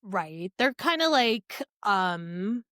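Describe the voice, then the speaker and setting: A woman's voice: medium pitch, very animated, extremely slow, a bit bright, dry recording, clean studio sound. A woman co-hosting a podcast in a casual book chat, chiming in with informal, joking commentary. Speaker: podcast co-host.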